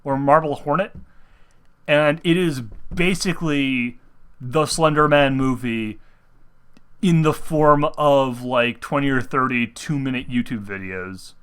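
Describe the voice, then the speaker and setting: A man's voice: medium pitch, measured pace, a bit bright, clean tone. A young man chatting casually to viewers about horror films and games, rambling with many restarts and fillers while sick. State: sick, talking through discomfort. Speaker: gaming video host.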